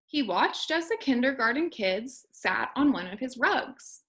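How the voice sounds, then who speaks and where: A woman, a kindergarten teacher, reading a children's storybook aloud expressively and deliberately to young listeners. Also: fairly high pitch, expressive, a bit bright.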